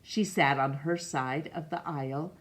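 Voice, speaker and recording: A middle-aged woman reading a picture book aloud in a measured, expressive way for children. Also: low-pitched. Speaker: storytime reader. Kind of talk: read-aloud storybook narration. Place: small room indoors.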